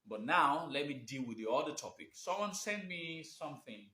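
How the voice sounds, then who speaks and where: A man's voice: a little high, animated, moderate pace, bright, forceful tone. A man hosting a live stream, talking off the cuff, hesitant and rambling, with many fillers and restarts. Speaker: host of a live video show on history. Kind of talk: unscripted live-stream talk. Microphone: phone or webcam during a live stream. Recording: echoey room.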